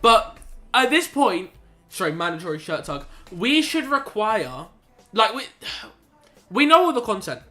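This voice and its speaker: High-voiced young man streaming maths. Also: sing-song, unhurried, pushed and bright, soft background music. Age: young adult. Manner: laid-back, chatty slang, reads chat aloud and mutters while working. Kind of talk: casual live-stream chat while solving maths.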